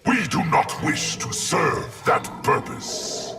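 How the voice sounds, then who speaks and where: A man playing a menacing, proud undead being of many souls in a fighting game, speaking in a stately, formal way with a breathy, growling rasp. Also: on the high side, very animated, takes it slowly, full character voice. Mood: menacing, defiant. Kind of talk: fighting game dialogue acting. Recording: loud music over the voice.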